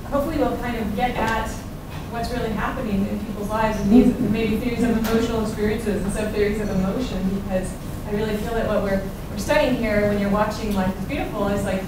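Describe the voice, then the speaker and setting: A woman's voice: mid-range voice, fairly even intonation, fast talker, background noise. A woman speaking earnestly as a panelist in an academic discussion. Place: conference room. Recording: echoey room from far off.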